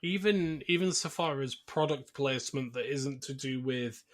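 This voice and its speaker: A man's voice: mid-range voice, moderate pace. A man hosting a podcast, talking through a film in an informal, wry and casual way. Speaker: podcast host. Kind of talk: casual film discussion and plot recap.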